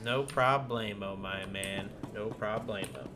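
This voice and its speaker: A man's voice: lowish voice, slow pace, music in the background. A man giving casual, informal gameplay commentary, thinking aloud as he plays. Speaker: game streamer. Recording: noisy with keyboard clatter. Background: game ambience, keyboard typing.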